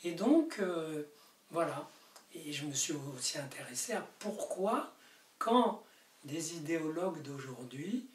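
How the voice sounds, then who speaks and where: An older man from Morocco speaks slowly and deliberately, his voice carrying the room's reverb. He sits back from the camera in a book-lined study, and light hiss runs under the audio. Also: on the high side, recorded from a distance. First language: Arabic. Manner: animated, gesturing, rising to emphatic peaks. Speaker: author. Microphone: camera mic from a distance.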